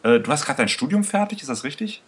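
A man's voice: on the high side, expressive, fast talker, background noise. A man hosting an interview, asking questions in a hesitant way with many fillers. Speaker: host. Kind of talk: conversational interview.

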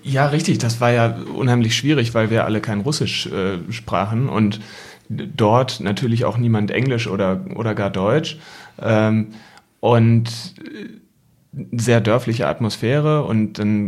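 A young man with a fairly low voice talks in a casual storytelling way. He is thoughtful and hesitant, often searching for the right word as a podcast guest. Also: unhurried, a little mellow.

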